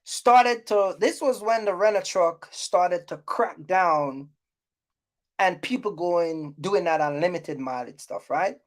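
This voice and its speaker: A woman's voice: on the low side, slow pace. A woman hosting a casual, unscripted advice vlog, speaking bluntly and repeating points for emphasis in a scolding way. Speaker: YouTube host giving trucking business advice. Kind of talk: casual unscripted rant to viewers.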